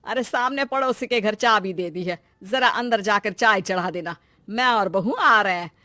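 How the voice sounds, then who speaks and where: A woman's voice: medium pitch, normal speed, pushed and bright, no room sound, clean studio sound, close to an everyday voice. A woman voicing a fond, fretful older mother-in-law in a family moral story, speaking emphatically. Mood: fond, fussy.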